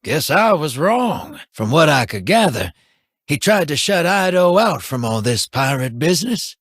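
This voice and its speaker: An actor playing a wry, easygoing young human scout who talks in a casual, joking, self-mocking way, with a husky voice. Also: male voice, on the high side, sing-song, measured pace, fairly bright tone, dry recording, clean studio sound, exaggerated voice.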